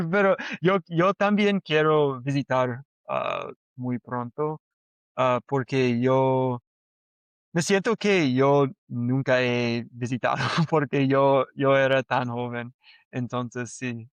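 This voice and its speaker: A man speaking learner Spanish at an unhurried pace. His voice is clear and clean, and the sound is dry and close. He talks hesitantly, restarts often and fills gaps with quick yeses. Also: medium pitch, no room sound. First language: English. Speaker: podcast co-host. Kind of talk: casual conversation in learner Spanish.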